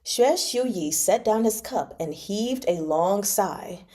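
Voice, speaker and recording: Female read-along host, relaxed dark-toned voice, casual and expressive delivery for novel reading and commentary. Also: medium pitch, slow. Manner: informal, slangy, expressive commentary. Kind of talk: reading a novel aloud with casual reaction asides.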